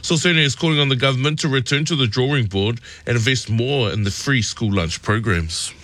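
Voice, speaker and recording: A man reading a radio news bulletin in a measured way and chatting as the show's producer. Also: medium pitch, a bit bright, no echo. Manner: measured news delivery, friendly banter. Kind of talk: radio news bulletin and casual studio chat. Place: radio studio.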